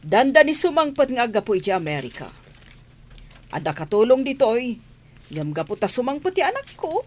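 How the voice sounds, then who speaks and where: A woman's voice: fairly high pitch, very animated, normal speed, darkish tone. A Filipino woman playing a haughty, scolding mistress of a mansion in a radio comedy drama. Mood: haughty, bossy. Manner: imperious, scolding. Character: haughty, bossy mansion mistress, mother of a grown son.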